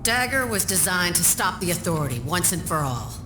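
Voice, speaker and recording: A woman voicing a tough, shrewd wasteland leader in a video game, with a brisk, commanding, streetwise manner. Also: fairly low pitch, very animated, noisy background. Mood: brisk, scheming. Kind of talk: video game cutscene acting. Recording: roomy echo, music behind.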